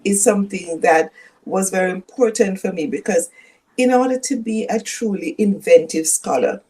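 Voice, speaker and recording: An older woman from Jamaica with a Jamaican accent, speaking as a guest professor in a measured, storytelling way that leans on key words. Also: medium pitch, dark tone, breathy edge, rough recording. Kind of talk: reflective interview conversation.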